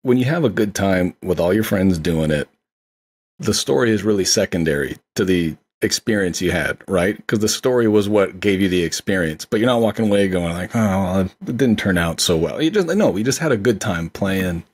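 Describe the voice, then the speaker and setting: A man's voice: low-pitched, lively intonation, moderate pace, husky. A man co-hosting a casual film discussion, talking in an agreeable, enthusiastic, conversational way. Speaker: podcast co-host discussing films.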